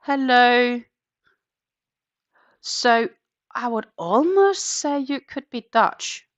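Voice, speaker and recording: A woman's voice: fairly high pitch, lively intonation, drawn-out words. A woman in her thirties, a native Danish speaker, chatting casually on a live crochet stream and counting her stitches aloud from her home. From Denmark. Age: 30s. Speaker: crochet channel host. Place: home in the Netherlands. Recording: dull lo-fi live-stream audio.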